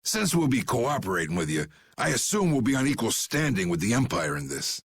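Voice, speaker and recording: Gruff old crime-lord type for anime game: gravelly, blunt, big swings, quick temper, music underneath. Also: male voice, medium pitch, sing-song, no echo. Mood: gruff, amused. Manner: blunt, swaggering, drawling. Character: gruff, commanding old guild boss, quick to anger. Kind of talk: anime video game voice acting. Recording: background music behind the voice.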